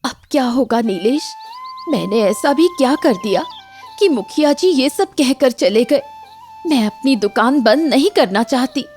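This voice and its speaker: A woman's voice: high voice, expressive, measured pace, darkish tone, soft background music. A woman voicing an earnest, determined young village shopkeeper in a cartoon, respectful and pleading. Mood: worried.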